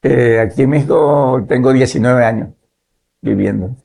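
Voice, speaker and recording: Pastor guest in his fifties, from Nicaragua; gravelly, measured storyteller. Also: mid-range voice, dark tone. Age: 50s. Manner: measured, adds "¿verdad?" tags. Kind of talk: relaxed testimony in conversation.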